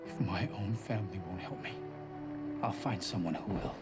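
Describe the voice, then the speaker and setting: An actor playing an earnest young inventor. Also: male voice, deep voice, moderate pace, over loud music, close to an everyday voice. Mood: bitter. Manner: passionate, pleading. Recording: show clip with loud score in a large hall.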